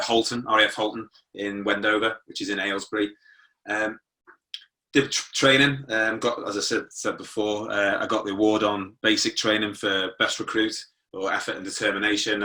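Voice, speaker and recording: A man's voice: on the low side, bright, forceful tone, recorded from a distance, low-quality recording. A British man in his forties telling his own life story informally as an interview guest. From born in Germany, moved to the UK at nine. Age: 40s. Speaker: interview guest, motivational speaker.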